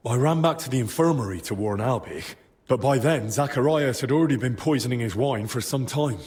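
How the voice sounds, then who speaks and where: Young medieval hero played by a male actor. Earnest, respectful, measured delivery, medium pitch, game drama. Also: a little mellow, natural voice. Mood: urgent, grave. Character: earnest, determined young man in medieval Bohemia. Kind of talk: dramatic video game cutscene acting.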